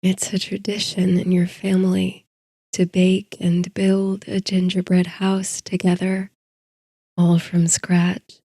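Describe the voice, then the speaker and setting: A woman narrating a guided sleep story in a soothing, hushed, unhurried way. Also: on the low side, completely flat delivery, no echo, clean studio sound.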